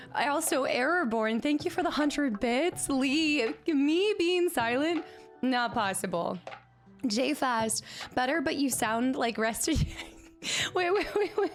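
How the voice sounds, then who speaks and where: A chatty woman streaming from her apartment and greeting her chat, slightly hoarse as she recovers from laryngitis. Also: high voice. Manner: chatty and upbeat, rattles off viewer names. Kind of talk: casual live-stream chat. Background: faint background music.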